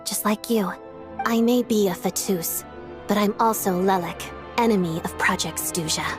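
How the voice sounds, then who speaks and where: Woman playing rebel insider agent, mid-pitched, composed, purposeful, game cutscene, faint music underneath. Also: close to an everyday voice. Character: resolute young female agent, rebel against her own organization. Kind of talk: video game cutscene acting.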